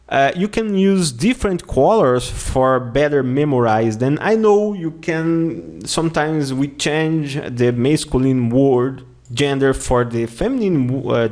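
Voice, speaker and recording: A man with a Brazilian accent teaching an online lesson, going slowly, repeating words and often checking with "okay?". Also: medium pitch, darkish tone. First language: Portuguese. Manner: slow, repeats words and phrases often, says "okay?" a lot. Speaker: language teacher.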